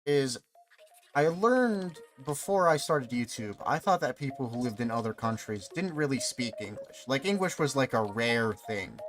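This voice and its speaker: A man in his twenties rambling along as he live-streams a game, talking casually and swearing now and then. His voice sits at an ordinary middle pitch, with game music playing clearly behind him. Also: lively intonation, normal speed. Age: 20s. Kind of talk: casual livestream chat while playing.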